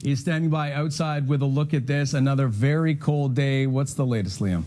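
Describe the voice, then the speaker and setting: A man's voice: mid-range voice, normal speed, warm tone, a little hiss or hum. A man anchoring a morning news show, friendly and steering between segments from a TV studio.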